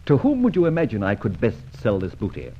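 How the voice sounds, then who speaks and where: An actor playing a suave, amused gentleman thief in an old radio adventure serial, with theatrical phrasing and a husky voice. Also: lowish voice, wildly swooping pitch, fast talker, muffled recording, full character voice. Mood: teasing, gleeful.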